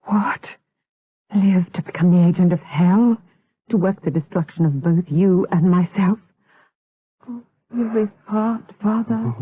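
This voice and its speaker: Woman acting a breathy, slow-speaking gothic seductress. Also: on the low side, no room sound. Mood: tearful, dying. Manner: melodramatic, slow, intense, formal archaic diction. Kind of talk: gothic radio drama acting. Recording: lo-fi, tinny 1980s audio drama recording.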